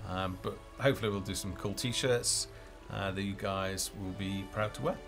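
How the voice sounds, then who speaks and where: A man's voice: sits low, fairly even intonation, moderate pace. A man hosting a casual game developer live stream, talking off the cuff in a hesitant, rambling way as he thinks aloud. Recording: music playing behind the voice.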